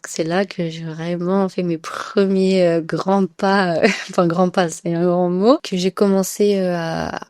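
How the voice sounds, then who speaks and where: A woman's voice: on the low side, little pitch movement, unhurried, few pauses, a little mellow, no echo, clean studio sound. A young woman tells her story casually as a podcast guest, hesitantly and repeating words for emphasis. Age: young adult.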